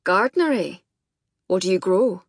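Woman acting a gruff, sharp Scottish male boss. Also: mid-range voice, expressive, normal speed, dry recording, studio quality. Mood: sharp, grudging. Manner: barking, muttering, sharp.

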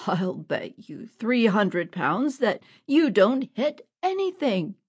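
A woman's voice: mid-range voice, big pitch swings, takes it slowly, darkish tone, slightly rough, full character voice. A woman voicing a big, mocking one-eyed man who taunts and threatens. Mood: taunting.

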